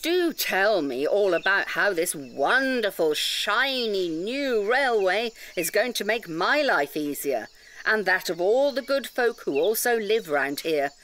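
A woman's voice: medium pitch, big pitch swings, unhurried, fairly bright tone, slight background noise. A woman playing an indignant nature-reserve campaigner in an audio drama, lecturing emphatically with pedantic detail and rhetorical questions. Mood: wound up.

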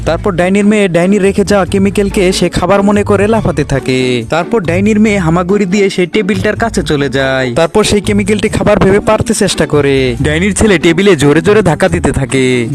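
A man narrating scripted children's cartoon stories. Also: high-pitched. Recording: music behind the voice.

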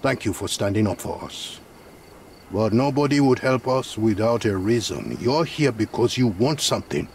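An actor playing a protective, middle-aged refugee man in a game, grave, wary and measured. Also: lowish voice, expressive, darkish tone. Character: guarded, protective male refugee leader. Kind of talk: dramatic game cutscene dialogue. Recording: faint night insect noise behind.

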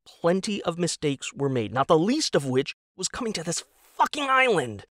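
A man's voice: on the high side, sing-song, moderate pace, a bit bright, dry recording, studio quality, pitched above the performer's own voice. A man voicing an exasperated, scornful young wife in an audio drama, with a biting, emphatic and incredulous delivery. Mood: angry, cutting.

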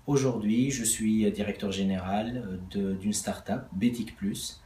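Tunisian man introducing himself, low mellow voice, halting delivery, echoey office. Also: fairly even intonation. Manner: halting, with fillers. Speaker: start-up director. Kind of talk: short self-introduction.

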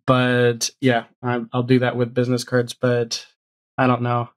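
A man's voice: medium pitch, completely flat delivery, measured pace. A middle-aged or younger man chatting casually as a podcast guest, rambling a little as he thinks aloud. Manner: rambling, thinks aloud, often ends on "right?". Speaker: guest, audio drama showrunner. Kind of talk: casual chat. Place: home room, video call.

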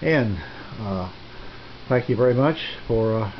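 A man giving a casual, rambling hobby video tour in a halting, folksy way, speaking from behind a handheld camera in a small room. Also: on the low side, expressive. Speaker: amateur radio hobbyist presenting his equipment. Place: small indoor home radio room. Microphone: handheld camera's built-in mic, behind the lens. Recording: dull lo-fi camera audio, noisy room.

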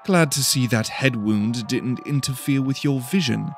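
A man voicing a sharp-tongued, condescending, hot-tempered young woman in an audiobook. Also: medium pitch, measured pace, faint music behind, close to an everyday voice. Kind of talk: dramatic audiobook character voice.

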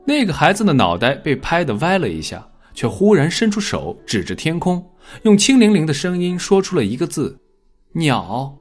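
A man reads a dramatic audiobook with a wide, lively swing in pitch. His voice is slightly husky, and his delivery is measured and expressive. Soft music plays behind him. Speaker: audiobook narrator. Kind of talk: scripted dramatic story narration.

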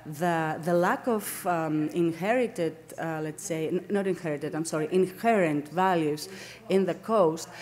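A middle-aged woman from Greece with a Greek accent gives an academic seminar lecture in a halting way, searching for words and correcting herself. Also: lowish voice, darkish tone. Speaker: academic lecturer, landscape architect. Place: indoor lecture room, at a lectern.

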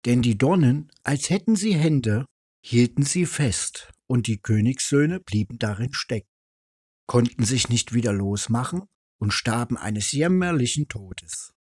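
A man's voice: mid-range voice, lively intonation, a little slow, a little mellow, no room sound, clean studio sound. A man reading a fairy tale aloud as a storyteller.

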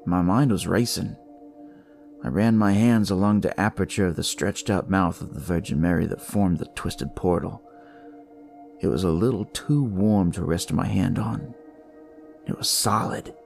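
A man narrating dramatic noir horror in the first person, with a deliberate, brooding delivery. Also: deep voice, vocal fry, a little mellow. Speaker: storyteller reading in first person as a detective. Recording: music behind the voice.

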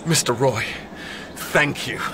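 An actor playing an earnest, bewildered, lovesick young man in a radio drama, naive and excitable. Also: mid-range voice, takes it slowly, noisy background.

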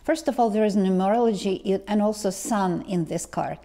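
A woman, talking slowly to camera as a tarot reader, pausing and restarting often. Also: mid-range voice, mellow, slight background noise. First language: Ukrainian or Russian. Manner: slow, halting, many restarts and ums. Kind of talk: casual vlog talk to camera. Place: living room indoors. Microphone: lapel mic.

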